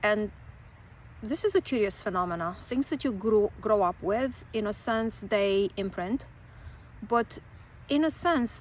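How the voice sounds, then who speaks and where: A woman from Romania, a folklore writer and teacher talking through a reflective personal monologue with many pauses. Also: mid-range voice, a little hiss or hum, poor audio.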